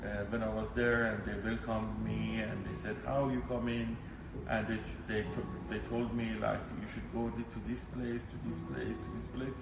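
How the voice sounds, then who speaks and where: A man from Iran, a food critic guest on a podcast, speaking haltingly with many restarts and fillers. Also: fairly low pitch, level tone, gravelly. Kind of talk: podcast interview. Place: studio in Dubai. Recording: echoey room, mic at a distance, music underneath.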